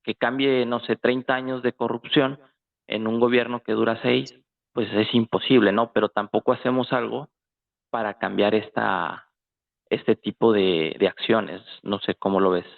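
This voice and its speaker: A man's voice: lowish voice, steady tone, moderate pace, vocal fry. Mexican man on a tinny phone-like line. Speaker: guest in a political discussion. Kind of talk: casual, conversational discussion. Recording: tinny phone-like, roomy echo.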